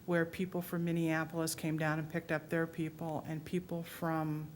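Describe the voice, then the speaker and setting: A woman's voice: moderate pace, slight background noise. A middle-aged woman in an audience, speaking earnestly and with emotion about her memories. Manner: earnest, emotional, low and even. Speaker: audience member. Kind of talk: personal reflection at a public talk. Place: public library meeting room.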